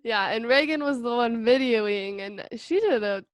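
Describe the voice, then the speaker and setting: A woman's voice: fairly high pitch, normal speed, clean tone, dry recording. A young woman hosting a casual podcast chat in a friendly way, laughing often. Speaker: podcast host.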